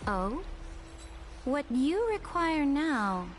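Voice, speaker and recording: A woman voicing a regal, calculating female emperor in a video game, with an imperious, theatrical and deliberate delivery. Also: high voice, big pitch swings, a little hiss or hum, exaggerated voice. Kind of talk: dramatic video game voice acting.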